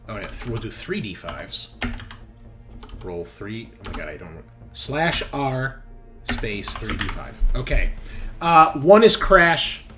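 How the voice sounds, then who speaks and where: A man's voice: medium pitch, big pitch swings, slow pace, background noise. A man running a tabletop game as game master, casually narrating and thinking aloud with informal, sweary phrasing. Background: game background music.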